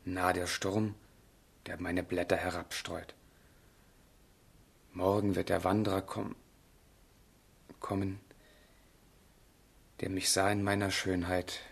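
A low, very husky male voice with creak in it. a tormented young lover in a literary radio play, declaiming slowly and fervently, as one resolved to die. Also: level tone, heavily acted. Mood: melancholy reciting. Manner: slow, fervent, brooding declamation. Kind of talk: literary radio drama acting, declaimed monologue.

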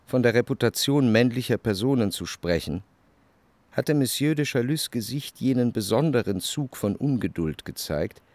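An actor playing an introspective, anxious gentleman who narrates his memories in a radio play, measured and literary. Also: medium pitch, animated, no room sound, close to an everyday voice. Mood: brooding. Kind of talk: scripted first-person radio play narration.

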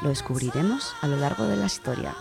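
Expressive Spanish woman storyteller, lowish voice. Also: quick pace, creaky, over loud music. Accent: Spanish from Spain. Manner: expressive, dramatic when reading. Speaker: radio storytelling contributor. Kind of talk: children's book reviews and expressive story reading. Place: radio studio.

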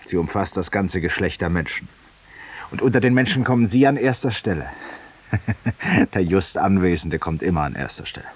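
Low-voiced, haunted man confessing. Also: animated. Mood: weary, bitter. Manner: literary, deliberate, ceremoniously polite, confiding. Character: weary, haunted, ironic Parisian man confessing to a stranger. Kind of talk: 1950s German radio drama monologue. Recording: hissy 1950s radio recording, distant voice.

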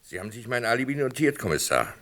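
An actor playing an uneasy, earnest wine merchant insistently defending himself in a radio play. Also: male voice, mid-range voice, sing-song, fast, fairly bright tone. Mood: defensive, uneasy. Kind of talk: German radio play acting.

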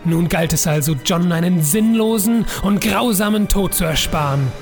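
A man's voice: sits high, moderate pace, soft background music. A man narrating a scripted story in a dramatic storytelling style.

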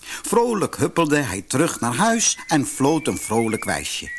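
A man reading a children's fairy tale as scripted narration, in an expressive, measured storybook manner. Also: medium pitch, noisy background.

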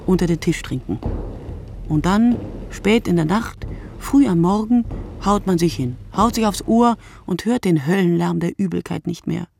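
A woman playing a reflective narrator in a literary radio play, with a husky voice and deliberate, fragmented, poetic phrasing that dwells on single words. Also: on the low side, darkish tone, a little hiss or hum. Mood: incantatory, wry. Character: reflective woman narrator musing poetically on night-time drinkers.

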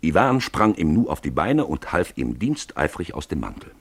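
A man with a deep, husky voice narrates a literary radio play. His delivery is theatrical, with wide swings of intonation. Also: normal speed, no room sound. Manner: expressive, theatrical storytelling.

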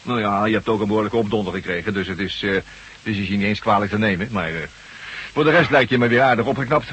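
An actor playing a jovial, loyal trucker pal, chummy and teasing, in a Dutch radio drama. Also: male voice, fairly low pitch, quick pace, fairly bright tone, slightly rough, poor audio. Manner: hearty, familiar, teasing. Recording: faintly hissy old radio recording.

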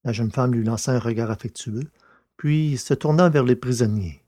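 A man's voice: mid-range voice, normal speed, warm tone, no echo, studio quality. A man reading a scripted science-fiction story as its narrator.

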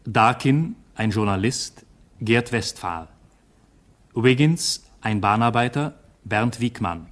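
A man reading a formal scripted cast announcement for a radio play in a measured manner. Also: mid-range voice, fairly bright tone.

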